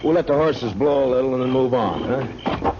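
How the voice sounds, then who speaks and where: An actor playing a stoic, world-weary frontier marshal in a 1950s radio western, with laconic, measured, dryly menacing delivery. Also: male voice, medium pitch, animated, close to an everyday voice. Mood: calm, probing. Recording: dull, noisy old radio broadcast recording.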